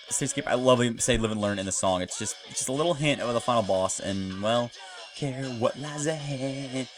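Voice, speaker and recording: A man with a mid-pitched voice commentates casually over a video game. He rambles along with obvious enthusiasm. Video game music plays clearly behind his voice. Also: fairly bright tone.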